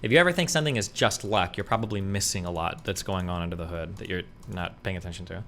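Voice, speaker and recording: A young man streamer in casual voice chat, talking fast with lots of fillers, matter-of-fact. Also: deep voice, a bit bright.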